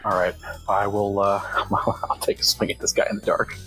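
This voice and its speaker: Male tabletop player, distinctly low voice, loose gaming chat, music in the background. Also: completely flat delivery, moderate pace, a bit bright, low-quality recording. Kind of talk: casual game chat. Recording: music behind the voice.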